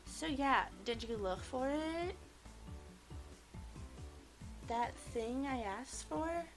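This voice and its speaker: A woman's voice: high-pitched, lively intonation, takes it slowly, faint music behind, full character voice. A woman voicing a brash, tomboyish teenage class leader in a visual novel, cocky and teasing. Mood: eager.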